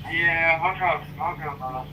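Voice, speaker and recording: A slightly higher-voiced man talks a crane through its lift with short, steady directions. He comes through a radio from far off, half buried under loud machine noise, in a rough, narrow sound. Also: normal speed. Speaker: crane dogman giving directions. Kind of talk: radio work instructions.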